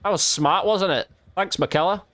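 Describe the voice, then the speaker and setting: A man's voice: high voice, normal speed, fairly bright tone, no echo, soft background music. A man giving casual, reactive running commentary while playing a video game. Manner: reactive, exclamatory, slangy. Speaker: video game streamer, YouTube host. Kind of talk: live gameplay commentary, casual chat.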